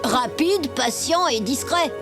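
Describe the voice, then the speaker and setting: Smug little cartoon wolf kid, high voice, mocking, big music behind. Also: female voice, expressive, slow, exaggerated voice. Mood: teasing, smug. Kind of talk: animated series dubbing. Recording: voice over loud background music.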